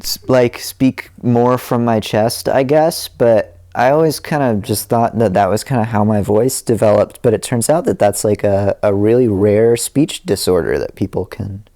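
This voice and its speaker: A man's voice: fairly low pitch, normal speed, few pauses, warm tone. A man guesting on a podcast as a musician, rambling and self-deprecating, laughing often. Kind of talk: casual interview chat.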